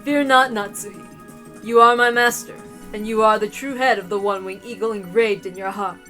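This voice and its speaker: A woman's voice: mid-range voice, fairly even intonation, brisk, bright, forceful tone, soft background music, lightly acted. A woman voicing a haughty, confident witch alchemist from a visual novel in a grand, declamatory manner. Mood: reassuring. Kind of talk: dramatic visual novel character reading.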